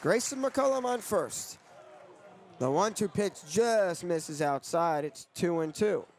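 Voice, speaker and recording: A man's voice: high-pitched, lively intonation, slow, a little mellow, some chatter behind. A young man doing sports broadcast commentary as a play-by-play announcer and reporter. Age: young adult.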